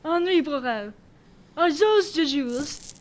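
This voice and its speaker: A stern French police prefect played by a woman in a radio drama, formal and deliberate in questioning. The voice is high-pitched, on a clearly noisy recording. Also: lively intonation. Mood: formal authority. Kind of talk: old-time radio drama acting.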